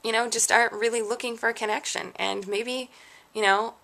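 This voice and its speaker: Vlogger, young adult woman; heavy vocal fry; frustrated, self-questioning rant. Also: on the high side, fairly even intonation, a little fast, pushed and bright. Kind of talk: candid rant to camera.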